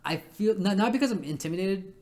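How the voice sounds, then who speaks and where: A young man with a nasal, rather high voice, co-hosting a casual podcast chat, with halting restarts. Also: lively intonation, a little mellow. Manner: halting, stammering restarts, laughs often. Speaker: podcast co-host.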